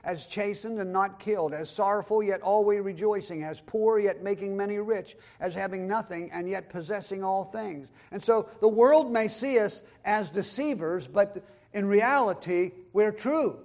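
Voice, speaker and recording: A man with a notably high voice delivers a sermon, quoting scripture aloud. He swells up loud on the big points and sinks to a hush on the asides, now and then acting out other people's words. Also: moderate pace, few pauses. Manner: emphatic preaching, swings between loud high lines and quiet low asides; quotes scripture and acts out voices of others in the talk. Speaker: pastor.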